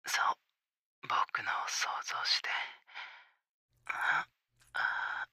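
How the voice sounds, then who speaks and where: A man voicing a tender, teasing young male lover in an intimate ASMR roleplay, speaking in soft, coaxing whispers. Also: extremely slow, no echo, clean studio sound, full character voice. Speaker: voice actor in an adult audio drama.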